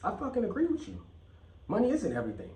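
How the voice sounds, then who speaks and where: A man rants casually on his vlog, swearing freely and hammering his points by repeating them. His voice sits somewhat high. The sound is roomy and echoing, caught from a few steps away. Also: huge pitch swings, fast, creaky, mellow. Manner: blunt, profane, repeats phrases for emphasis. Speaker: channel host. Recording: echoey room, mic at a distance.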